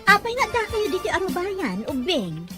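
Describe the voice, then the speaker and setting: A woman's voice: very high voice, huge pitch swings, normal speed, over music, heavily acted. A woman playing a kindly, frank neighbor in an audio drama, asking sympathetic questions. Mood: concerned. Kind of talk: Ilocano audio drama acting.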